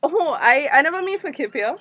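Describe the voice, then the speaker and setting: A woman paying a visit in a drama. Her voice sits fairly high, and she is polite and curious, gently prying. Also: lively intonation, fairly quick, no room sound. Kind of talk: screen drama dialogue.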